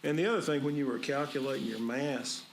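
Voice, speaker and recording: A man from the audience asks casual, halting questions at a public meeting. His voice is gravelly, with a faint hum of the room behind him. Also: medium pitch. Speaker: audience member asking questions. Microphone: speaking away from the microphone.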